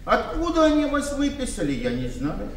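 A man's voice: extremely high pitch, wildly swooping pitch, normal speed, roomy sound, big-room sound, recorded from a distance, slight background noise, exaggerated voice. An actor playing a confused, rambling old father from the village in a radio play. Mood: plaintive. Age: older. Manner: rambling, plaintive. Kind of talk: 1990 radio play acting.

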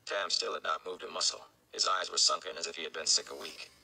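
Young male narrator, deep and creaky, dramatic fantasy read-aloud. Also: fast, sharp tone. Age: young adult. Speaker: audiobook-style reader for a fan read-along. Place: small indoor room.

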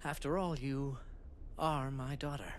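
An actor playing a proud, aloof magician in a video game, speaking in a haughty, measured way. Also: male voice, steady tone, natural voice. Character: proud, aloof wealthy magician, adult man. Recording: game audio with faint rumble.

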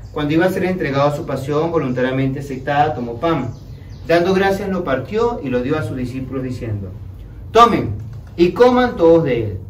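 Echoey young priest reciting Mass prayers. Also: male voice, on the high side, fairly bright tone. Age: young adult. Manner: solemn, measured, declamatory. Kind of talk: liturgical prayer, solemn recitation. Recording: echoey room, distant mic, background noise.

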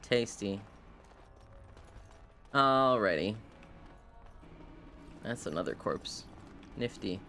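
A man's voice: lowish voice, animated, extremely slow, pauses often, bright, forceful tone, soft background music. A man casually commentating on a game live, with playful, chatty asides to his viewers. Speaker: game streamer. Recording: clear background noise. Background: video game sound.

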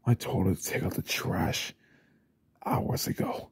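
A man performing an ASMR roleplay as a stressed single father, grumbling under his breath and then yelling in anger. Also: a little slow. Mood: angry. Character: stressed single father, short-tempered, drinking.

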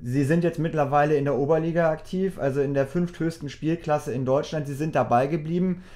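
A man's voice: fairly high pitch, steady tone, a little fast, dark tone. A man presenting and putting prepared interview questions in an articulate, formal way.

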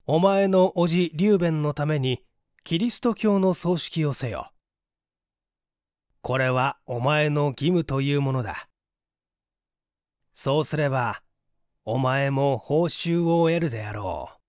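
A man reading aloud a father's solemn, admonishing testament to his son, deliberately, in an audiobook mystery. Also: a little high, darkish tone, no echo, studio quality, natural voice. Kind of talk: audiobook letter read aloud.

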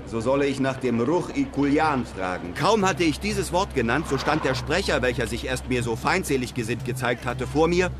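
An actor playing a European traveller who narrates his desert adventures in a measured, literary way. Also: mid-range voice, lively intonation, background noise, close to an everyday voice. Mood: measured storytelling. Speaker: narrator of an audio drama. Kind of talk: scripted first-person adventure narration.

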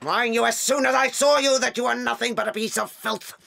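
An actress playing a tyrannical, bullying headmistress in an audiobook drama, barking with contempt. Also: female voice, a little high, steady tone, a little fast, creaky, fairly bright tone. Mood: outraged contempt.

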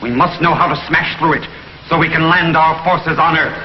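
A man's voice: fairly high pitch, little pitch movement, moderate pace, natural voice. Man acting a pompous gloating villain, echoey old soundtrack. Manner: pompous, theatrical, menacing. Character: gloating villainous alien intelligence chief, cold and grandiose. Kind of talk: 1950s television sci-fi serial acting. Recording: muffled, noisy, echoey 1950s TV soundtrack.

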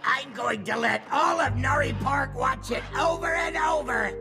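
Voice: high voice, measured pace, creaky, music in the background. An actor voicing a scheming, gloating race-car villain in a children's cartoon, bossy and mean. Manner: gloating, commanding. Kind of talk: children's animated series dubbing.

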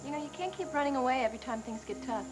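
A woman's voice: sits high, lively intonation, very fast. An actress playing a caring young woman on a 1990s daytime soap who pleads earnestly about her brother. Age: young adult. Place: indoor studio set. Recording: soap scene with music behind.

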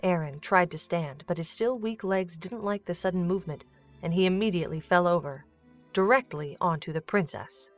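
A woman's voice: fairly low pitch, moderate pace, soft background music. A woman giving scripted narration for a storybook-style audio drama.

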